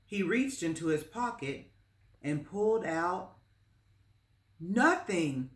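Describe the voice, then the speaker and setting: A woman reading a children's story aloud as narrator, in a measured, expressive storytelling manner. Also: fairly low pitch. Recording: roomy, echoing space.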